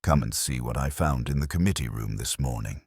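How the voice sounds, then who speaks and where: A man's voice: a bass voice, a little fast, darkish tone, breathy edge, dry recording, clean studio sound, voice pushed down. A man reading the part of an observant, eager female caretaker in a mystery story, emphatic and matter-of-fact. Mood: eager, insistent.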